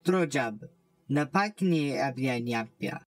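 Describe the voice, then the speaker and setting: A woman's voice: extremely low pitch, animated, takes it slowly, no room sound, exaggerated voice. A woman voicing a menacing alien bounty hunter who babbles needlingly in an invented tongue, in an amateur drama reading.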